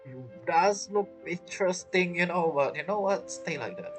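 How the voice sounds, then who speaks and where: A man's voice: high voice, expressive, moderate pace. A man giving casual, chatty gameplay commentary, reacting informally as he plays. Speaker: game streamer. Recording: game music behind voice.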